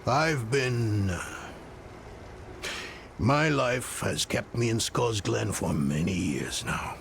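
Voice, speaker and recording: Weary middle-aged fantasy game scholar: halting, brooding, creaky voice with wide swings in pitch, music underneath. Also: male voice, animated, slight background noise, heavily acted. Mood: wistful, regretful. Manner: halting, brooding, muttering to himself. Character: grieving, weary older male scholar mending a relic. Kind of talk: dramatic fantasy game dialogue. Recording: dramatic music behind dialogue.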